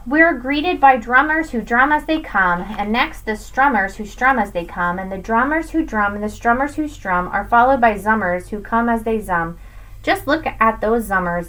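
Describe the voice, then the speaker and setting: A woman in her thirties with a fairly high voice reads a children's picture book aloud. Her delivery is expressive and sing-song, leaning firmly on the rhymes and key words as a teacher would. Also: poor audio. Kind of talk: expressive read-aloud of rhyming children's verse. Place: small indoor room with bookshelves.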